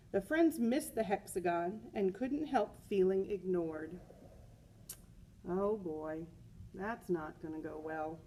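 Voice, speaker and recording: Teacher lady reading a kids book out loud, takes her time, bit husky, expressive w little side comments. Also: medium pitch, poor audio. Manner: expressive, with emphatic repeated words. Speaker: teacher reading aloud to students. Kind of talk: picture book read-aloud with chatty asides.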